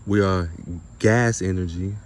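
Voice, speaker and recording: Deep-voiced man in an informal spiritual monologue. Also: steady tone, measured pace. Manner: emphatic, repetitive, rhetorical questions; casual and slangy with some swearing. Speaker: spiritual content creator and speaker.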